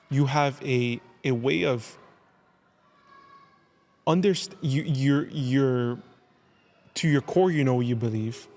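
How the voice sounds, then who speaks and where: Man, podcast host, earnest, halting, mid-pitched voice. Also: darkish tone. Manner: earnest, halting, with many restarts and "like". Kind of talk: interview wrap-up.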